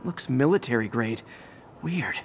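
An actor voicing a wry, quippy young masked superhero in a video game, earnest and quick with a joke. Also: male voice, mid-range voice, animated, a little hiss or hum. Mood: focused.

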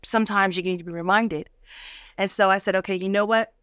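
A woman's voice: mid-range voice, fairly even intonation, a little fast, a bit bright, smooth, no echo. A middle-aged American woman telling personal stories emphatically in a studio interview, acting out the dialogue she quotes. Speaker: guest. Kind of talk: animated personal storytelling in a TV interview. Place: indoor TV studio.

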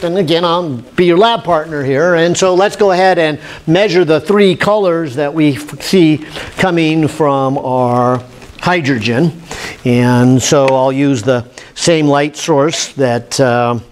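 A man, giving an informal, halting lab demonstration as an instructor, thinking aloud. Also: fairly high pitch. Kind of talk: informal demonstration lecture at a whiteboard. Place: indoor classroom lab. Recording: steady room noise, classroom camera audio.

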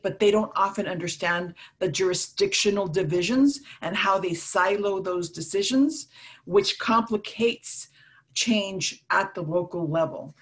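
A middle-aged woman, a community organizer, speaking earnestly in a panel discussion over a video call on an earbud headset. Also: mid-range voice. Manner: earnest, thoughtful, with repeated restarts. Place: room at home, orange wall.

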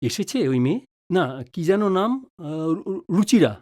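A woman's voice: medium pitch, expressive, normal speed, dark tone, lightly acted. A woman playing a wary, joking middle-aged father in an audio drama, with a teasing, exclamatory manner. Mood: brusque. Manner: teasing, mock-pompous, exclamatory.

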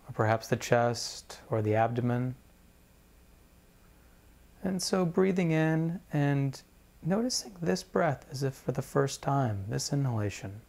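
A man leading a guided meditation as a teacher, speaking in a measured, deliberate way with pauses between phrases. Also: mid-range voice, a little mellow.

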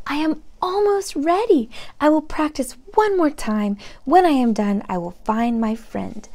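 A woman's voice: high voice, a little slow, dark tone. Woman voicing an earnest little T. rex. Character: earnest tiny young male T. rex.

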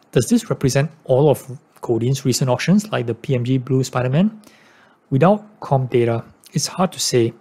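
A man's voice: medium pitch, mellow. A man hosting a YouTube video, giving scripted commentary in an informal, matter-of-fact way. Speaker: YouTube channel host on card investing.

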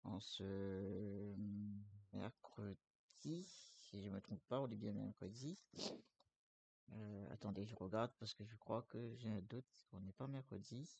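A man's voice: fairly low pitch, takes it slowly, gravelly, a little mellow, slightly rough. A man with the flu talking his viewers through buying a truck in a game, rambling with many fillers and restarts. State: has the flu. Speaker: streamer playing a trucking game. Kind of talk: casual gameplay commentary.

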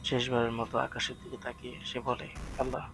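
A man's voice: mid-range voice, monotone, brisk, music in the background, poor audio. A man narrating a scripted horror story in a dramatic, suspenseful way as the storyteller.

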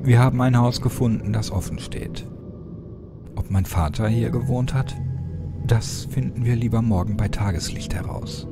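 A man's voice: medium pitch, moderate pace. Man narrating horror over music. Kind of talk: scripted first-person horror story narration. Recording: music behind the voice.

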